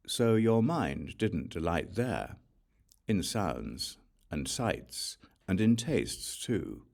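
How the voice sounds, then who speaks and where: A man reading scripture as a scripted audiobook narration, with measured, deliberate storytelling delivery. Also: low voice, darkish tone.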